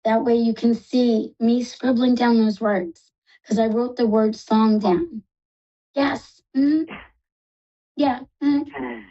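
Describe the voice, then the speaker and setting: Fast-talking New York woman host. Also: a little high, steady tone, dark tone, dull audio. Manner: fast-talking, reassuring, affectionate. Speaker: psychic radio host. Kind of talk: live call-in psychic reading.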